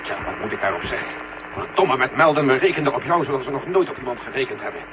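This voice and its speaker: An actor playing a gruff, exasperated general at mission control, blunt and commanding, in a 1970 Dutch radio drama. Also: male voice, mid-range voice, expressive, fast talker, noisy background, lightly acted. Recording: muffled, humming 1970 radio recording.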